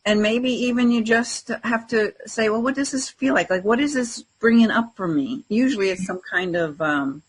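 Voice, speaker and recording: Husky-voiced woman in casual spiritual conversation. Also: on the high side, normal speed, hardly any pauses, poor audio. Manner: reflective, informal, often acts out inner self-talk in quotes. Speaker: spiritual talk show co-host and teacher.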